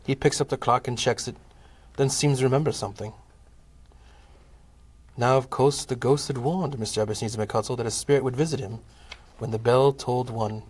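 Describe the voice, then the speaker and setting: A man narrating a scripted comic audio play in a measured, formal storytelling manner. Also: medium pitch, steady tone, husky.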